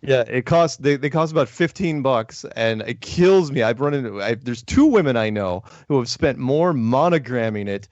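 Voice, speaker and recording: A man's voice: mid-range voice, animated, normal speed, few pauses, darkish tone, no echo, highs cut off. A man hosting a call-in show, upbeat, laughing often and repeating his words. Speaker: host taking calls. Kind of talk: casual call-in chat.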